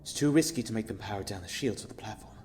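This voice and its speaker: An actor playing a despairing, world-weary male space traveller in an audio drama, speaking in a subdued, brooding, halting way. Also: lowish voice, darkish tone, slight background noise, natural voice. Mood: reflective.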